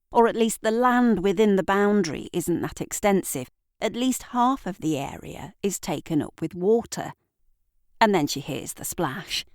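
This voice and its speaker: Slow, low-voiced woman narrating drama. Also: a little mellow, dry recording, studio quality. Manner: deliberate, theatrical storytelling with pauses. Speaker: narrator and writer.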